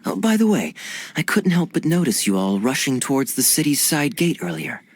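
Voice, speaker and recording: A suave, charming knight captain. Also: male voice, on the high side, very animated, normal speed. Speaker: cavalry captain. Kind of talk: video game cutscene acting.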